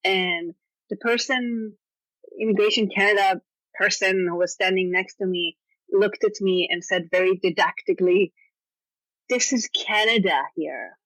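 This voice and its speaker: A woman with an Israeli accent, Hebrew her first language, spins animated anecdotes on a conversational podcast. Her voice sits bright and forward. It is recorded close and dry, with almost no room sound. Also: mid-range voice, a little slow, no echo, rough recording. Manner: animated storytelling with frequent "you know" and laughs. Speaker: guest author. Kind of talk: casual podcast interview.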